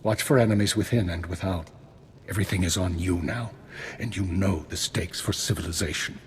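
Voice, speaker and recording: An actor playing a shrewd, formal, dryly polite retired spymaster in a science-fiction video game. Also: male voice, low-pitched, fairly quick, a little hiss or hum, lightly acted. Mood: measured, grave. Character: shrewd, unflappable male retired imperial spymaster. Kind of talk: scripted video game acting.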